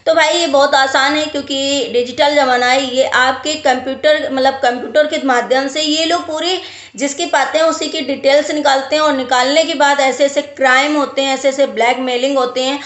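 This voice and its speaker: A woman's voice: high voice, fairly even intonation, moderate pace, fairly bright tone. A woman vlogger talking casually to viewers and giving advice, explaining with emphatic repetition. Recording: roomy, echoey sound.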